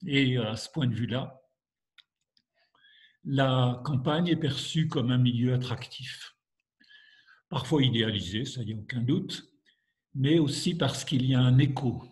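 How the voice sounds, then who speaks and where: A French man giving a measured academic lecture with many hesitations, stuffy from a head cold and coughing at times. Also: mid-range voice, a little mellow. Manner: measured, hesitant with frequent fillers. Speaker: university lecturer.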